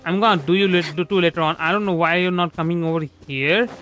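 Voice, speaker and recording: A man's voice: high voice, fast talker. A young man from India doing casual live game commentary, musing aloud and repeating himself. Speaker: VTuber game streamer. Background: soft background music.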